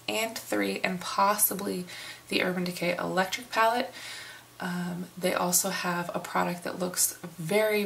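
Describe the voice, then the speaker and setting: A middle-aged woman from the United States giving a casual makeup review in an unhurried, chatty way, hoarse and sniffling from a cold. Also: lowish voice, fairly bright tone, slight background noise. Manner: unhurried, chatty, many fillers. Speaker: beauty vlogger.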